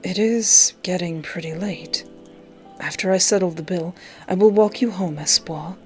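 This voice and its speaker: A woman voicing a courtly, flirtatious male wish-granter from a visual novel, speaking softly and formally with a teasing edge. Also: lowish voice, measured pace, close to an everyday voice. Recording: music behind.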